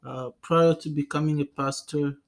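A man's voice: a little high, fairly even intonation, moderate pace. A man co-hosting a podcast and putting interview questions to a guest. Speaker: podcast co-host.

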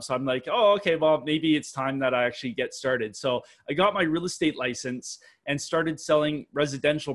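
A man's voice: medium pitch, a bit bright. A man in his thirties with a Canadian accent, telling his story as a podcast guest with restarts and analogies. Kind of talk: casual interview answers.

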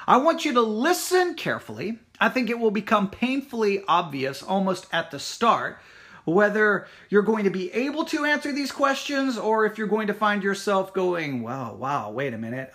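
A church teacher speaks informally and directly to listeners. The pitch moves widely and expressively. The delivery is emphatic and challenging, built on rhetorical questions and repeated phrases. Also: sharp tone. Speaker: Bible teacher at a Baptist church.

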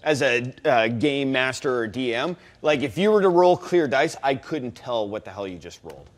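A man in a casual panel chat, telling stories in an animated, loosely profane way and acting out what people said. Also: medium pitch, moderate pace. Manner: animated storyteller, profane, acts out quoted dialogue. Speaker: panelist on a tabletop gaming talk show.

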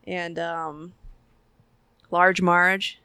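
A woman co-hosting a podcast in casual chat, expressive and given to acting out what she describes. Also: lowish voice, extremely slow, clear, resonant tone, no echo. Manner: expressive, acts out bits with sound effects. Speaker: podcast co-host.

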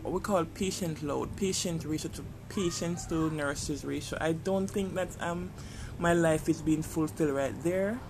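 A man's voice: fairly high pitch, steady tone, normal speed, few pauses, background noise. A man with a Jamaican accent speaking in a casual personal podcast monologue, hesitant and reflective, often searching for his words. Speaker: podcast host.

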